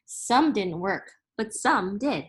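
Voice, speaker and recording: A woman reading a children's science picture book aloud like a teacher, in a deliberate, instructive way that makes each term clear. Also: mid-range voice, animated, slight background noise. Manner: deliberate, instructive, spelling out and explaining terms.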